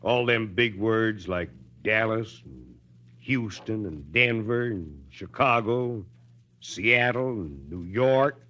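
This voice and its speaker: An actor playing a principled, weary small-town Texas mayor in a folksy, drily ironic American manner, in 1940s radio drama style. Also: male voice, fairly low pitch, lively intonation, slow pace, sharp tone, exaggerated voice. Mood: wry, weary. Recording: dull, lo-fi 1940s radio recording.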